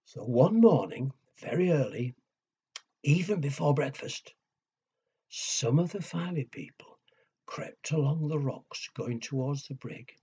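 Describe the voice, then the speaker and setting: A man telling a story to young children, with a husky voice, hushed dramatic pauses and simple questions to his listeners. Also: medium pitch. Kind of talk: children's storytelling and nursery rhymes. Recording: dull lo-fi recording.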